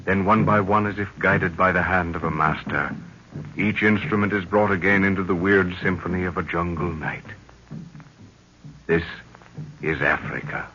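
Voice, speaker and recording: A man's voice: deep voice, moderate pace, pushed and bright. A man narrating a 1930s radio adventure in a grandiose, theatrical style. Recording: muffled, hissy old 1930s radio recording.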